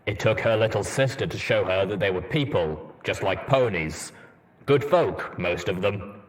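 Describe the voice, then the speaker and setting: A man performs a stern old armored ghoul soldier from a fantasy audiobook. The voice sits low and rumbles, with slow, weighty phrasing. A faint layer of noise lies behind it. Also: lively intonation. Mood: solemn, reflective.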